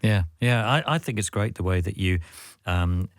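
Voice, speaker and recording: A man's voice: on the low side, moderate pace, no echo. A man hosting a radio interview in a studio, enthusiastic and full of praise. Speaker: radio host.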